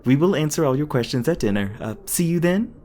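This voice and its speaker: A man's voice: a little high, expressive, brisk, darkish tone, natural voice. Man playing a smug agent, swooping and pompous. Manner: pompous, wordy, mock-polite. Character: smug, officious male cult agent with false courtesy. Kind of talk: ASMR audio roleplay acting.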